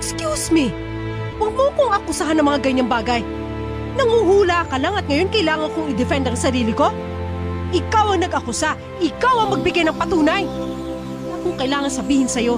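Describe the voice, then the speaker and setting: A young wife and teacher, high voice, lively swings in pitch, sharp retorts, music behind. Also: female voice. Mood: indignant. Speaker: voice actor. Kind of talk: radio drama acting.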